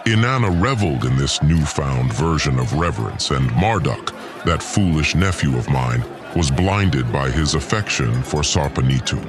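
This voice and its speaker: A man's voice: very deep voice, expressive, moderate pace, music in the background. A man playing a vengeful, disdainful god lord in an audio drama, delivering a formal, pompous and menacing villain monologue. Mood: disgusted. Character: vengeful, disdainful male god lord plotting against humans.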